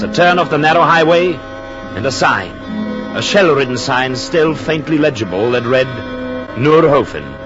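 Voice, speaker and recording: A man acts an earnest husband in an old radio drama. His formal, deliberate lines swing expressively in pitch. Music sits behind the muffled broadcast sound. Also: close to an everyday voice. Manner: solemn, deliberate, formal phrasing. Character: earnest Austrian husband returning to his ruined hometown. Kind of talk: 1940s radio drama acting and narration.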